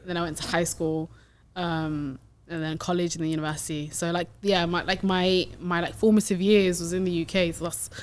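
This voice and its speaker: Fast-talking British woman guest. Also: fairly low pitch, little pitch movement. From United Kingdom. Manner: chatty, quick, laughs easily, slangy. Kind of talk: casual podcast conversation.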